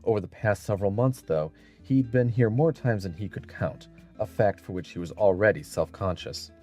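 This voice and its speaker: A man's voice: lowish voice, moderate pace, darkish tone, faint music behind. A man narrating an audiobook story in a scripted, dramatic style.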